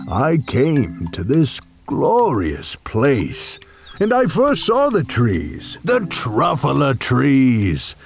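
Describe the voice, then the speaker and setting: A man's voice: a little high, full character voice. A man playing an old, grumpy, secretive recluse who tells his tale dramatically in a storybook read-along.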